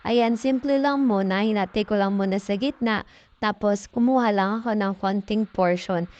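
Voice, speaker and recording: A woman's voice: medium pitch, moderate pace, darkish tone, muffled recording. A young Filipino woman with a chatty, informal manner, narrating a casual vlog as a voice-over. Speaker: mom vlogger.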